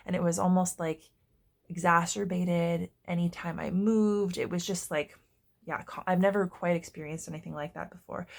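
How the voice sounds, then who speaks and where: A woman giving a casual tarot reading to her viewers, congested and hoarse, chatty and often stopping to search for words. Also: on the low side. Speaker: tarot reader.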